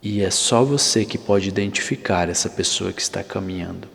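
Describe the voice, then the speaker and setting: A man with a Brazilian accent narrating an ASMR guided visualization in a soothing, deliberate manner with long pauses. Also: sits low, vocal fry. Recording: long reverb, faint hiss and ambience.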